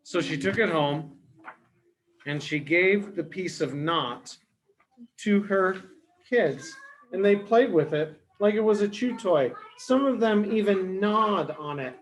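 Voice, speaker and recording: Slow-paced man telling a children's story. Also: high voice, expressive, slight background noise, low-quality recording. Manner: simple, explanatory, dramatic pauses for young children. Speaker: storyteller, early education teacher. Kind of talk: children's story retelling with word explanations.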